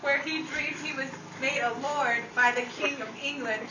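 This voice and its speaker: A woman's voice: high voice, fairly even intonation, normal speed. A woman narrating a scripted documentary-style radio program. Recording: roomy, distant mic, noisy background.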